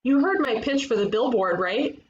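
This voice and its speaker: A woman playing a breezy, blunt detective in an animated comedy, casual and matter-of-fact. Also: fairly high pitch, moderate pace, muffled recording, lightly acted. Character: breezy, blunt female detective running a ghost agency. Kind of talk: animated comedy table read.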